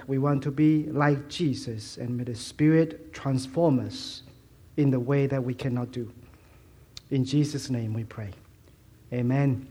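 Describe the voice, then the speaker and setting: Man, Malaysian-accented English, sermon, fairly high voice, slow unhurried delivery, frequent ums and restarts. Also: a little mellow. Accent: Malaysian Chinese English, mostly Fuzhounese-tinged. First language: Fuzhounese. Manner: halting, with many fillers and restarts; self-deprecating humor. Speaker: preacher.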